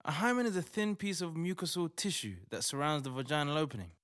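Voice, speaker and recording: A man playing a bewildered but courteous atheist doctor in a modern audio drama, measured and incredulous. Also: on the high side, big pitch swings, fairly bright tone, smooth. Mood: baffled. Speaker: actor in audio play.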